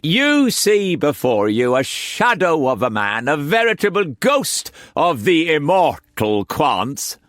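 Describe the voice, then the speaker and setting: A man's voice: a little high, sing-song, takes it slowly, few pauses, a bit bright, dry recording, clean studio sound, pitched above the performer's own voice, full character voice. A man voicing a flamboyant, melodramatic old painter who speaks in grand phrases. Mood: indignant. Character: flamboyant, theatrical old male painter, a rogue.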